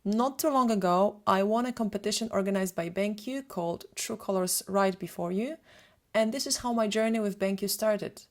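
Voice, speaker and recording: A woman's voice: mid-range voice, normal speed, darkish tone. A woman from Poland, a native Polish speaker with a Polish accent, giving a prepared webinar presentation as a photographer. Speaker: photographer and retoucher hosting a webinar.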